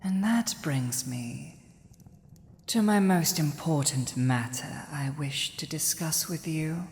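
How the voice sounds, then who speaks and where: Woman doing a bossy villain dragon queen, low voice, super slow n deliberate, big echoey hall, asmr roleplay. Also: darkish tone. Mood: serious, probing. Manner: commanding, deliberate, pausing. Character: villainous, domineering dragon ruler, protective and imperious. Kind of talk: ASMR roleplay audio drama. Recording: echoey hall, long reverb.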